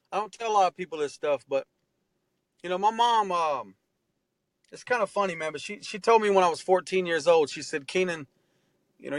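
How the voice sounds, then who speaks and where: A man's voice: sits high, dry recording. Earnest, heartfelt man around forty. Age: 40s. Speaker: interview guest, artist and tattoo artist. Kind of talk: candid conversational interview.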